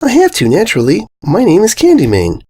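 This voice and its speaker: A man plays a ditzy young pony mare from a cartoon storybook. The voice is pitched way up and very lively, naive and earnest, confidently making no sense. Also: brisk, husky, heavily acted. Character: dim-witted, cheerfully oblivious young cartoon pony mare.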